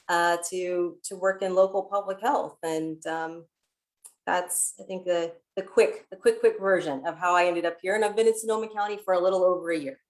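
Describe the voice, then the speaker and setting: A woman with an American accent speaks as a public health panelist. Her voice is a little lower than most women's. The delivery is informal, as in an unscripted panel introduction. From Ohio, United States.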